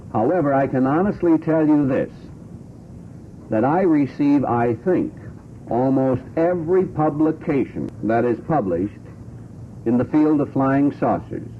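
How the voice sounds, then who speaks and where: A man hosting a radio talk show in a conversational, deliberate way, repeating and spelling out details for listeners. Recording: noisy, tinny vintage radio recording with rumble.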